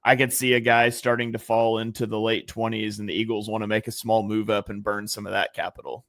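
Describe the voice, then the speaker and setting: A man's voice: lowish voice, flat delivery, fairly quick, a bit bright, dry recording. Easygoing man breaking down football picks. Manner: conversational, analytical, many fillers and restarts. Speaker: guest draft analyst. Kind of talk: casual sports podcast chat.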